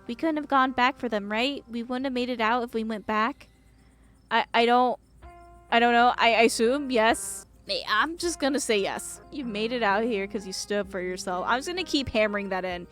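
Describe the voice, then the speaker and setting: A woman's voice: a little high, moderate pace, fairly bright tone. A woman giving playful, joking live commentary as a game streamer while reading a visual novel aloud. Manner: giggly, joking. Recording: game music behind voice. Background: game music.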